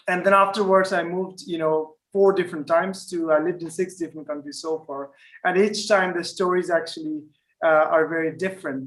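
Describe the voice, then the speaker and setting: A man's voice: sits high, poor audio. A man from Finland speaking as a panel guest in a live online discussion, conversational with frequent fillers and restarts.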